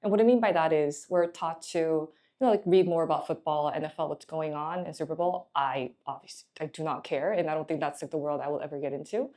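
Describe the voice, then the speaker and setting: A woman's voice: sits low, quick pace, hardly any pauses, warm tone. A young woman from Korea, a management consultant, giving candid, encouraging career advice in a chatty way.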